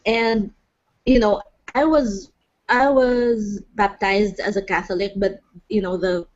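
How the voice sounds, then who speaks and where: A woman from the Philippines with a Filipino accent, speaking in a measured, halting way with frequent restarts on an online panel. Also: medium pitch. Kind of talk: casual panel discussion. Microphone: video call. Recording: dull lo-fi online call audio.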